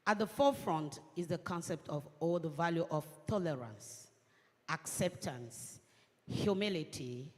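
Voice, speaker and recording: A woman from Cameroon, speaking as a panelist in measured, earnest phrases. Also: low-pitched, lively intonation, a little mellow. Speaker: panelist, women's organization coordinator. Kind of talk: conference panel remarks. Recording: long reverb of a large hall.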